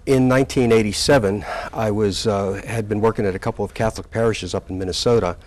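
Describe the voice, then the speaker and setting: Low-voiced man in his sixties, halting. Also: fairly even intonation. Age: 60s. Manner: halting, with many fillers and restarts. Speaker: community college president, guest. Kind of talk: relaxed interview recollection.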